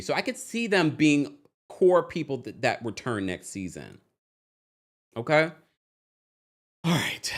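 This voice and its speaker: Male livestream host, casual and playful, highly animated delivery, exclamatory gossip commentary. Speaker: host of a reality TV recap show.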